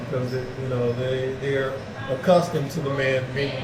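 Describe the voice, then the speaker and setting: A middle-aged man from the United States, chatting casually as an interview guest, animated and full of fillers. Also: mid-range voice, normal speed. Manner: animated storyteller, repeats fillers like "like". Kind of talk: casual chat. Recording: echoey room with background noise.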